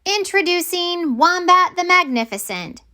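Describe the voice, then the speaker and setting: Woman acting a young storybook witch girl, exasperated, big-hearted, high bright voice, exclaiming. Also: a little slow, heavily acted. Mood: proud. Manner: expressive, exclaiming. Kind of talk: children's picture book character voice.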